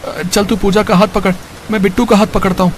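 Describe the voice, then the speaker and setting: A man's voice: high-pitched, little pitch movement, fast talker, close to an everyday voice. An anxious, humble labourer father played by a man in a cartoon story. He talks in an earnest, pleading way, with noise behind him. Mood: anxious resolve. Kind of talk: Hindi cartoon story character voice.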